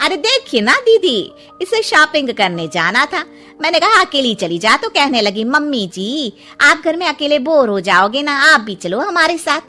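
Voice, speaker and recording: Woman acting a bright, high-voiced cheerful mother-in-law. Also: big pitch swings, moderate pace, soft background music, heavily acted. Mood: cheerful, chatty. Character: cheerful, contented middle-aged mother-in-law, younger sister-in-law.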